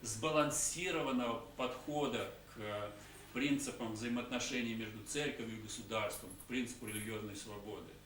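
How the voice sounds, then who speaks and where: A man giving a conference talk in short phrases, pausing often with hesitation sounds. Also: medium pitch, fairly bright tone. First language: Russian. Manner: halting, many hesitation sounds. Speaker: conference speaker. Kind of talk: conference talk with pauses for interpreter. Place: conference hall. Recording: echoey hall, distant mic, faint hiss.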